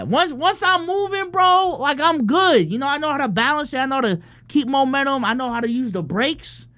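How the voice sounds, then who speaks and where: A man's voice: very high-pitched, bright, forceful tone, dry recording. Laid-back young streamer guy, dry sound. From Philippines. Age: early 20s. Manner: loose and profane, full of fillers and "bro" and "man". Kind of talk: casual livestream chat answering viewer questions.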